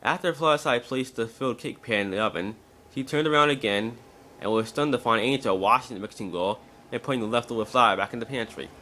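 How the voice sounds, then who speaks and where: A man's voice: mid-range voice, fairly quick, sharp tone, slight background noise. A man narrating a scripted story as an amateur dramatic reading, now and then stumbling over words.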